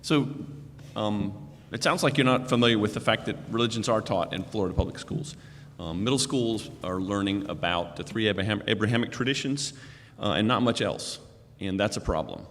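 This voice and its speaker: Low-voiced, wry male panelist. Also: moderate pace, a bit bright, slight background noise. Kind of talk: panel discussion. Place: church sanctuary. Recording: long church-hall reverb.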